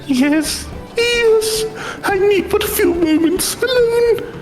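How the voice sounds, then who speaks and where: A man's voice: extremely high pitch, lively intonation, slow pace, roomy sound, faint music behind, voice pushed up, full character voice. A man voicing a vain, giddy scroll-crafter from a fantasy game, speaking in florid, theatrical, gloating phrases. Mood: possessive, triumphant.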